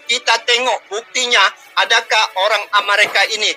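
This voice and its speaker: Man, Malaysian Malay accent, high voice, very bright tone, faint music behind, casual reaction commentary. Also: fairly even intonation, fairly quick, low-quality recording. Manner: chatty, with frequent "uh" fillers. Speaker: reaction video host.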